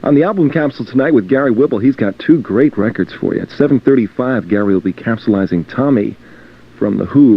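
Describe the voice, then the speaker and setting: A man working as a radio DJ, announcing music and reading station promos. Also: lowish voice. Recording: dull lo-fi radio aircheck tape.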